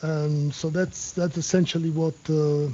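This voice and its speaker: A man with an Italian accent answers questions in a control room, pausing often to find his English words. His voice sits high and breathy, with a soft, darker tone. The webcast audio is muffled. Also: flat delivery. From Italy. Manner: halting, searching for words in English. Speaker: shift crew member at a physics experiment. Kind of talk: live interview on a tour.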